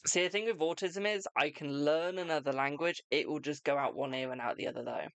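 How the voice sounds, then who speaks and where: A British tarot reader hosting a live stream, chatting casually and answering viewers' questions with a brisk "my darling". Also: medium pitch, steady tone, smooth, no echo. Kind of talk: casual live-stream chat and card readings.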